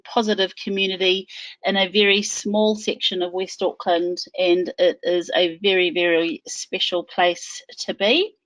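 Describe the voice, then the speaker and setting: A woman, an educator presenting her school on a webinar in a friendly, brisk way. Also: medium pitch, low-quality recording.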